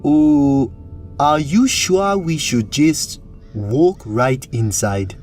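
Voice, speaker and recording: A man's voice: medium pitch, drawn-out words, a little mellow, faint music behind. A man playing a big, avuncular cook in a fantasy audio drama, earnest and easygoing in manner. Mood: hesitant.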